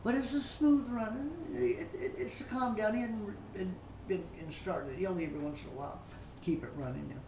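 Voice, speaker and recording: An older woman giving informal Bible teaching as a story, in a folksy, halting way with repeated words and frequent pauses. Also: medium pitch. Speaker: preacher telling a story. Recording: roomy, distant mic with faint noise.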